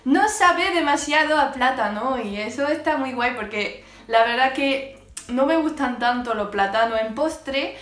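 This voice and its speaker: A woman's voice: sits high, animated, measured pace, fairly bright tone, distant mic. A woman from France with a French accent, chatting casually to camera as a baking vlogger, with frequent hesitant fillers. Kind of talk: casual vlog chat to camera. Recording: echoey room, voice off-mic, music behind.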